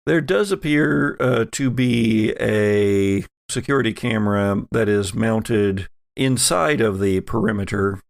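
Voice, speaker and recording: Male game master, conversational, slow delivery, gravelly, close dry sound. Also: lowish voice, no echo. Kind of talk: narrating a tabletop roleplaying game.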